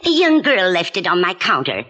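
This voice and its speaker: A brassy old newsstand woman with a bright, forceful voice that swings widely in pitch. She is a wheedling, feisty small-time crook who talks in slang. It sounds like vintage radio drama. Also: very animated, normal speed, heavily acted. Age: older. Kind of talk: 1940s radio acting. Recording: vintage 1940s radio recording.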